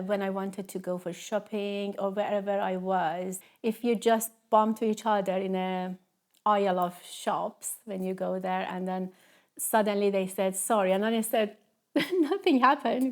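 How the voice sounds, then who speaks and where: A woman from Iran with an Iranian accent, a native Persian speaker, answering interview questions in halting, conversational English. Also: mid-range voice, steady tone. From Tehran, Iran. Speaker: interview guest, cultural trainer.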